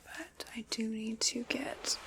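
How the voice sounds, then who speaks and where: A woman voicing a calm, teasing female armorer who measures a customer for armor, speaking in soft, mostly unvoiced ASMR whispers with gentle precision and dry jokes. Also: fairly high pitch, slow pace. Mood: hushed, attentive. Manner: gentle, precise and wryly joking. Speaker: ASMR roleplay performer.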